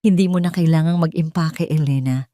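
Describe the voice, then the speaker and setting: Woman playing a cold, low-voiced contemptuous husband. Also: fairly quick, mellow, no echo, studio quality, lightly acted. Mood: cold contempt. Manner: curt, unwavering, commanding. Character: cold, contemptuous husband throwing out his wife.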